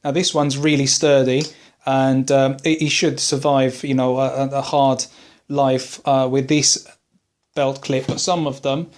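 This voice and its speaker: A man's voice: medium pitch, flat delivery, unhurried. A man presenting an informal product buying guide as a hobbyist, explaining and advising as he rephrases along the way. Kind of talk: informal product advice video.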